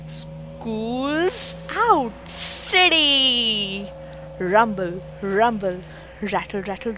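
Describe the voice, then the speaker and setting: A woman's voice: a little high, a bit bright, slight background noise. A woman reads a rhyming picture book aloud for preschoolers in a theatrical, exclamatory, rhythmic way, with music behind her. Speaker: children's book reader.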